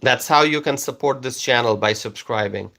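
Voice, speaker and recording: A man from Bangladesh whose first language is Bengali, hosting a live stream and reading out viewer comments in a slow, repetitive, coaxing way. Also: mid-range voice, gravelly, bright, forceful tone.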